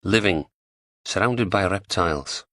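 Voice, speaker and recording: A man doing a flat Liverpool psychologist. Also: sits low, a little slow, no echo. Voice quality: flat, rambling, whimsical. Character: odd, whimsical male psychologist.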